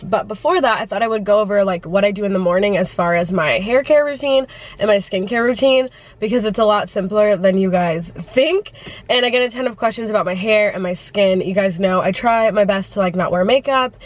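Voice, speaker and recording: Congested chatty woman vlogging. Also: medium pitch. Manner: chatty, many "like" fillers. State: congested from the flu. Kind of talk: casual vlog chat.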